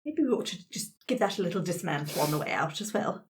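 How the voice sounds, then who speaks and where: A woman takes part in an improvised tabletop roleplay. Her pitch moves around freely as she talks, and her wording is polite and a little prim, even in casual game chat. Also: fast talker.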